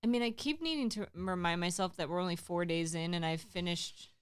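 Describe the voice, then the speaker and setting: A woman's voice: on the low side, brisk, clean tone. A woman co-hosting a livestream, reading viewer comments aloud and chatting casually. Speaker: livestream co-host. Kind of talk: casual stream chat.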